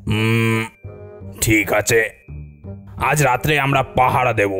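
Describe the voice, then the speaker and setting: Blustering village uncle over music. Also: male voice, mid-range voice, fairly even intonation, takes it slowly, fairly bright tone. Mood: gruff, bossy. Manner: blustering, scolding, suspicious. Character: hot-tempered, bossy village uncle. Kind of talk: cartoon voice acting.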